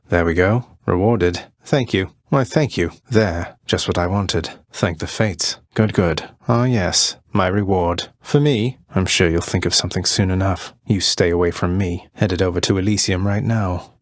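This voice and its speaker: A young underworld prince from a video game, speaks in a low, close, dry-recorded voice. He is cocky yet polite, with an understated, wry delivery. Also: husky, no echo, exaggerated voice. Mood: cool, confident, then pleased, grateful, then defiant. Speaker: voice actor playing a video game hero. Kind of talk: video game voice lines, short combat barks and dialogue.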